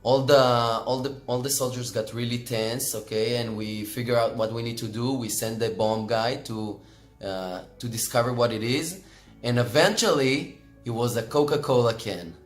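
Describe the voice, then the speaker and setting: A man's voice: mid-range voice, moderate pace. A man in his twenties with an Israeli accent, casually telling an anecdote in an interview. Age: 20s. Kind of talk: interview storytelling. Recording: echoey room with music.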